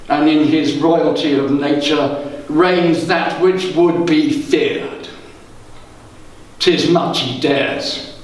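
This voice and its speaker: A man performs as a guilty, scheming king in a Shakespearean tragedy on stage. His voice sits high and he declaims slowly, with bitter, brooding weight. The sound is distant, swimming in heavy room echo. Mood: brooding, fearful. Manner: slow, declamatory, theatrical. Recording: echoey room, distant microphone.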